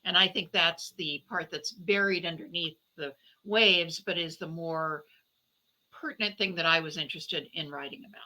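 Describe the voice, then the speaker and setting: Sharp-bright woman novelist being interviewed. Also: on the low side, moderate pace, poor audio. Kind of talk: conversational interview answers, explaining.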